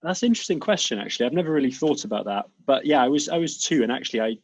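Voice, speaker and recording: A man born in Serbia and raised in South Wales, speaking with a light British accent as a relaxed interview guest. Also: mid-range voice, racing, no room sound. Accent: British, light Welsh. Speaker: fitness coach and doctor, guest.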